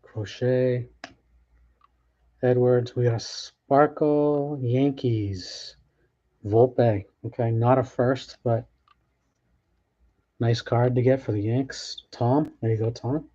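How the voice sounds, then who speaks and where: A man chats offhandedly while opening packs, thinking out loud with quick restarts. His voice is mellow and mid-pitched. The recording sounds dull and lo-fi, like a home setup. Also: fairly even intonation. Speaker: card break host. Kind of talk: casual live commentary while opening packs.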